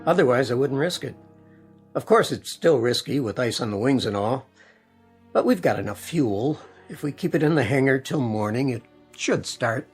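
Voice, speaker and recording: A man's voice: medium pitch, lively intonation, normal speed, husky, soft background music. An actor playing a weary, resigned man getting ready to flee a freezing city in a radio drama.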